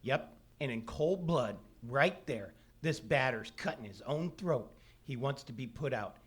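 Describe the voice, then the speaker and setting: A man's voice: medium pitch, expressive, measured pace, slightly rough. A man playing an eager, folksy know-it-all son in a family, in 1940s-style radio comedy. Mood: earnest, explaining. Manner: folksy, explaining earnestly. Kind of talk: 1940s radio comedy acting, live on stage. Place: live theatre stage.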